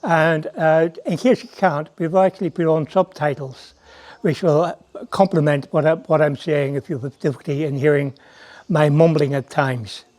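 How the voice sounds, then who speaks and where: A man with an Irish accent, narrating a documentary and speaking in a measured, unhurried way with occasional fillers. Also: on the high side, steady tone, darkish tone. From United Kingdom. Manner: slow, measured delivery with hesitant fillers when speaking freely. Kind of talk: scripted narration and casual spoken message.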